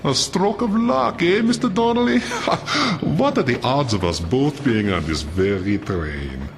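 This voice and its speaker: An actor playing a sly, falsely genial villain in a noir radio drama, teasing and insinuating in a mannered way. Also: male voice, mid-range voice, very animated, measured pace, music in the background. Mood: slyly amused.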